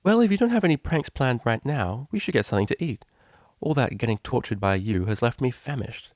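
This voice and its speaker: A man's voice: mid-range voice, sing-song, a little fast, a little mellow, no room sound, clean studio sound, voice pushed up, close to an everyday voice. A man voicing a prim, indignant yet fond female pony cellist with proper, formal phrasing in a story reading. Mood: composed, friendly.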